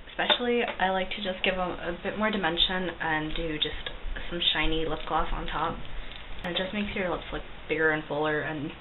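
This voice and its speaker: A young woman with a slightly low voice talks through a makeup tutorial in a chatty, self-deprecating way, with plenty of ums. The sound is thin and phone-like, with a loud steady hiss underneath. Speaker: cosplay makeup vlogger. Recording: tinny lo-fi recording with loud hiss.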